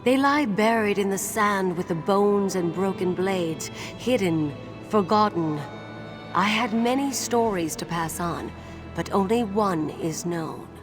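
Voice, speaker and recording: A woman plays the spirit of a legendary warrior maiden in a fantasy game. She speaks very slowly and solemnly, wistful as a storyteller, at a medium pitch over soft music. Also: natural voice. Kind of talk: scripted fantasy game acting.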